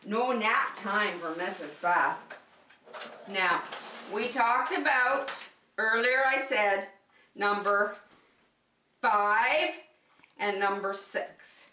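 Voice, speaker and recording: Female preschool circle-time teacher, bright and encouraging, simple repetitive phrasing, roomy distant-mic sound. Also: mid-range voice, drawn-out words, pauses often. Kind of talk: preschool lesson addressed to young children. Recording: roomy echo, distant microphone.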